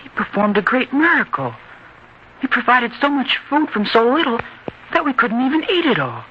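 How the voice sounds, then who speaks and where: An actor playing an earnest, stubborn young son in an old-time radio drama, speaking in an eager, theatrical way. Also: male voice, extremely high pitch, very animated, moderate pace. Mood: puzzled. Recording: dull, noisy old-time radio recording.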